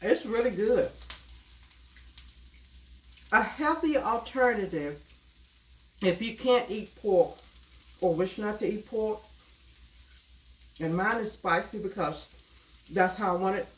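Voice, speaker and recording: A middle-aged woman talks her viewers through a recipe at her stove, calling them y'all in a chatty, homey way. Her voice is stuffed up and a little nasal from a lingering cold. The kitchen sounds roomy, and a loud sizzle and frying rise from the pan behind her. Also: mid-range voice, slow, long pauses, a little hiss or hum. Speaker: cooking channel host. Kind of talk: casual cooking vlog.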